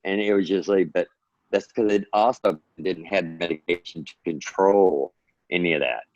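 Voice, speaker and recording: A low-voiced man with a drawl talks earnestly in a rambling, encouraging way. His voice comes over a dull, lo-fi video call and sounds dry and close. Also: moderate pace, a little mellow, no echo. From Texas. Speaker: guest author. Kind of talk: casual interview talk.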